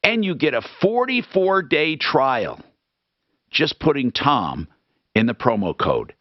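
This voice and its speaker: A man hosting a talk radio show, helping callers and reading ads in a brisk, salesman-like way. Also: mid-range voice, very animated, no echo, dull audio. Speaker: radio host. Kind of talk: talk radio with live reads.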